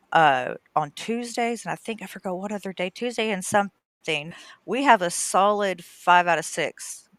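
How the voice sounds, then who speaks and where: Nasal woman rambling about her games, bright crisp voice, dry sound. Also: sits low, dry recording. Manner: chatty and rambling, laughs easily. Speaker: guest gamer and streamer. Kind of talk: casual podcast chat.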